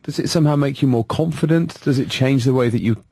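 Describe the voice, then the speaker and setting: A man's voice: medium pitch, quick pace, dark tone, no echo, studio quality. A man interviewing a guest on the radio, asking probing questions. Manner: probing questions, brief backchannels.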